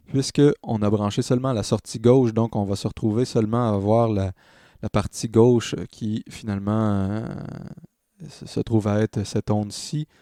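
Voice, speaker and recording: A man narrating a step-by-step software tutorial as a tutor, hesitant and thinking aloud as he demonstrates. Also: lowish voice, no echo, studio quality.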